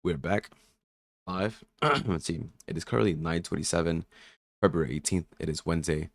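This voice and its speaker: A man who is a bit sick and raspy, casually thinking aloud through a trading session with many fillers and restarts. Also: deep voice, steady tone, moderate pace. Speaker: day trader streaming his session. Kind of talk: casual market commentary.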